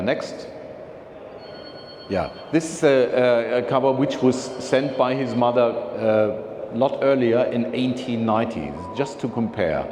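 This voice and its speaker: A man giving a slide talk to an audience, speaking haltingly with many fillers and restarts and calling for the next slide. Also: medium pitch. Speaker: presenter at a philatelic society meeting. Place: meeting hall. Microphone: handheld or lapel mic. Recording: echoey hall, noisy room recording.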